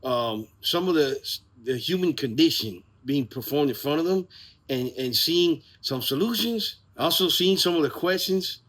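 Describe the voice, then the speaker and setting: A man's voice: on the high side, animated, normal speed, fairly bright tone. Earnest, informal man in casual chat. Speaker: playwright and co-host promoting a play. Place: outdoors in the evening.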